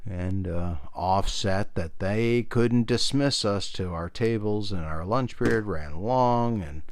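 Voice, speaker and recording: A man's voice: deep voice, a little mellow. A middle-aged American man talking casually, meandering through hobby explanations and anecdotes in an informal, self-deprecating way. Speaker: hobbyist radio video presenter.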